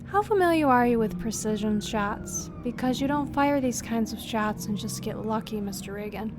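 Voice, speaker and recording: A woman's voice: on the high side, lively intonation, moderate pace, noisy background, close to an everyday voice. A woman playing a tough, sardonic police captain who questions relentlessly in an audio drama. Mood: probing. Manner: sarcastic, probing, unflinching. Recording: music behind the voice.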